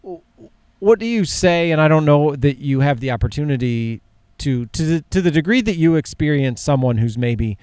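A man's voice: on the high side, expressive, moderate pace, few pauses, darkish tone, smooth, no echo. A man from upstate New York hosting a casual interview, asking questions with enthusiastic restarts. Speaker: podcast host.

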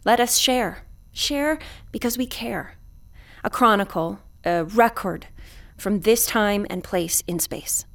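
A space station commander hosting a radio show in a sci-fi audio drama. She speaks in a measured, official manner with a weary edge. Her voice sits at a medium pitch and is recorded very dry. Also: creaky, a bit bright, dry recording. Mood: solemn, ceremonial. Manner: formal, measured, bureaucratic. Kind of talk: audio drama acting, broadcast host address.

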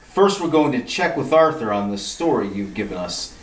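Woman doing a low wisecracking detective guy, lively, far off in an echoey room. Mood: probing. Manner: breezy, wisecracking, brisk. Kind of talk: amateur retro radio drama acting. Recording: echoey room, off mic, faint noise.

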